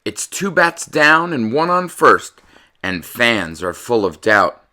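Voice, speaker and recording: A man giving an expressive, dramatic read-aloud in the manner of a children's storyteller. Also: medium pitch, slow pace. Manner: animated, dramatic delivery.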